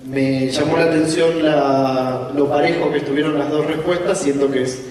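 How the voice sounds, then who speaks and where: A man moderating a conference panel in a relaxed, playful way. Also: a little high, moderate pace, recorded from a distance, a little hiss or hum. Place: large conference hall. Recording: echoey hall, voice from afar.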